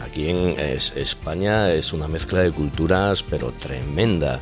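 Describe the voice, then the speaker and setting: A man's voice: rumbling low, unhurried. A man, a guest on a radio chat, talking in a hesitant way with many fillers. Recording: music playing under the voice.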